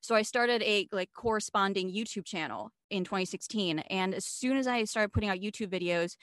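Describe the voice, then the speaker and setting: A woman's voice: medium pitch, fairly quick, fairly bright tone, no echo. Chatty Swiss-born woman, dry sound. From Switzerland. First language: not English. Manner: chatty, storytelling with fillers. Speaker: guest, video marketing coach. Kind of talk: casual interview chat.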